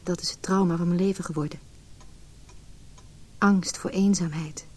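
A woman's voice: lowish voice, steady tone, moderate pace, warm tone, close to an everyday voice. An actress playing an anxious, wounded, introspective married woman in a Dutch radio play. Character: anxious, wounded married woman recalling her lonely childhood.

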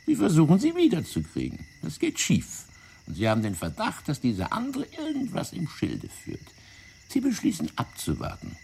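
An actor in a German radio play playing a fat, wily, self-righteous French intelligence officer, explaining things in a theatrical, condescending way. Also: male voice, a little high, wildly swooping pitch, moderate pace, creaky, darkish tone, breathy edge, full character voice. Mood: calculating, insistent. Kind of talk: German radio drama acting.

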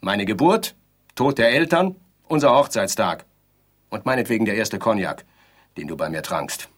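An actor playing a pompous, touchy bridegroom in a radio drama, speaking in pedantic, stilted, over-elaborate sentences. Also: male voice, fairly low pitch, animated, normal speed, fairly bright tone, husky. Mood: offended, insistent. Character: pompous, touchy middle-class bridegroom on his wedding night. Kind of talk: theatrical radio drama dialogue.

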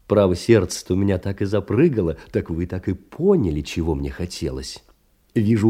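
An actor playing a tender, meek, lovestruck middle-aged clerk in a theatrical audio drama monologue, sentimental and doting, with a husky voice. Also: male voice, sits low, mellow, heavily acted. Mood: tender, happy. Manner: sentimental, doting, old-fashioned endearments.

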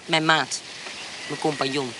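A woman's voice: sits low, fairly even intonation, measured pace. An actress playing a shrewd, hospitable woman landowner in a radio play, dry and firm. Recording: radio play with noisy background.

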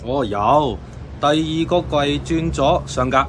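High-voiced neighbourhood shopkeeper. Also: male voice, slow pace, slight background noise. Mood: matter-of-fact. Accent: Hong Kong Cantonese. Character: familiar, chatty male comic-shop owner. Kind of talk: radio drama dialogue.

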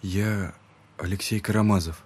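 An earnest young monk in a theatrical drama. His voice is slightly low and husky, with a gentle, conciliatory manner. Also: steady tone, a little slow.

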